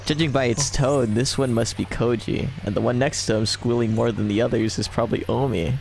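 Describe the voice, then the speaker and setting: A man's voice: medium pitch, fairly even intonation, a little fast, gravelly, background noise. A man hosting a gaming video, switching between casual joking chat with a friend and reading a visual novel's narration aloud.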